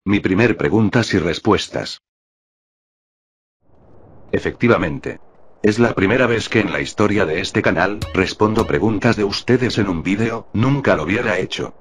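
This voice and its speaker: A man in his thirties, a gaming YouTuber, calmly explaining things in voice-over narration. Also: fairly low pitch, moderate pace, slightly rough. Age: 30s. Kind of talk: voice-over narration over gameplay footage. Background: background music.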